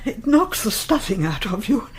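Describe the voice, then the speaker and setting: A woman's voice: medium pitch, big pitch swings, normal speed, heavy background noise, natural voice. An actress playing an inquisitive, chatty widow in her seventies in a British radio play, with genteel, probing phrasing. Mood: shaken, intrigued. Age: 70s. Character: inquisitive, chatty seventy-two-year-old widowed woman neighbour.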